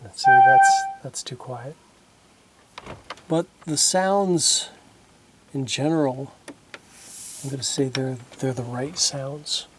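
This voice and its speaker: A man talking casually through his repair work at a home workbench, thinking aloud and halting with fillers, recorded on a camera microphone. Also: medium pitch, over loud music, poor audio. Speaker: hobbyist synth repairer. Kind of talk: casual narration while working. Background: synthesizer being played.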